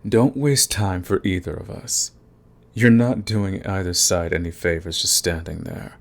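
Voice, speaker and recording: A man playing a cocky, menacing villain in an audio roleplay, taunting the listener with smug, unhurried threats. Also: low voice, fairly even intonation, gravelly. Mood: cool mocking. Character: cocky, menacing male villain, coolly fond of his enemy. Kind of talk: ASMR roleplay acting, addressing the listener.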